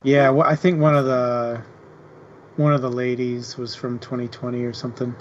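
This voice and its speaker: A man's voice: medium pitch, little pitch movement, normal speed, lo-fi sound, a little hiss or hum. A man co-hosting a casual book discussion, speaking informally and hesitantly as he searches for words. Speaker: book club podcast co-host.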